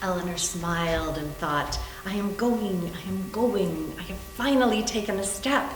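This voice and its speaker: A woman's voice: medium pitch, measured pace, faint music behind. A woman giving a scripted dramatic reading of a novel in a readers theater. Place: indoor room, live library reading. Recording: echoey room with faint hum.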